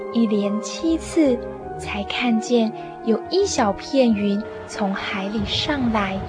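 A woman narrating a radio drama with measured, expressive scripted storytelling. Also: fairly high pitch. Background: music.

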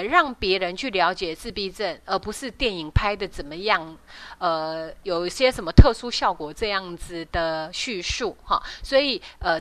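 A woman hosts a talk podcast in Taiwanese Mandarin. Her pitch is middling and the sound is dry, with no room to it. She explains things step by step in an informal way, often closing phrases with soft particles. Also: no room sound. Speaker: radio show host.

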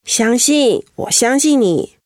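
An actress in a Mandarin radio drama playing a vulnerable young wife, earnest, emotional and confiding. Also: female voice, fairly high pitch, expressive, takes it slowly, darkish tone, no echo, clean studio sound. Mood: tender, reassuring. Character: vulnerable young wife holding back tears after illness.

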